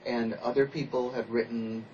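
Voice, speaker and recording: A man's voice: medium pitch, level tone, normal speed, distant mic, highs cut off, background noise. A man being interviewed on radio as a playwright and producer, explaining things casually with examples.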